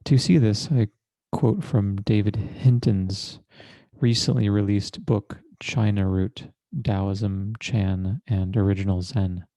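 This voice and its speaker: Guy teaching meditation, mellow dark voice, really slow n measured, dry close sound. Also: fairly low pitch, steady tone, no echo. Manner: deliberate, measured, with long pauses. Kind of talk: guided meditation.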